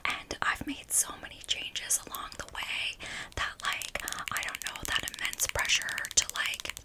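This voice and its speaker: A woman in her thirties from Canada whispering a loose, rambling ASMR chat full of "like" and "um". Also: poor audio. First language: not French; French is her third language. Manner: rambling, filler-heavy "like" and "um". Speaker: ASMR creator. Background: ASMR trigger sounds.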